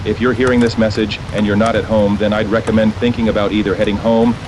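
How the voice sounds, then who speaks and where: Over clear background noise, a male radio host played by an actor speaks in a lowish voice. He is concerned and folksy, keeping a measured, informal tone through an emergency broadcast in a horror drama. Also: flat delivery, close to an everyday voice. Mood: concerned, steady.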